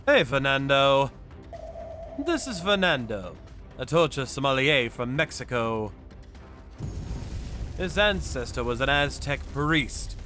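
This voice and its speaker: A male actor voicing a stern, brooding avenger who narrates a manga dub in a deliberate, dramatic way. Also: mid-range voice, very animated, sharp tone, faint music behind, full character voice. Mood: resolute. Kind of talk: dramatic scripted first-person narration.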